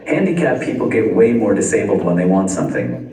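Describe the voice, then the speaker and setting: A young Canadian man performing a stand-up comedy set, delivering dry one-liners deadpan at a live venue. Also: deep voice, brisk. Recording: echoey venue from far off.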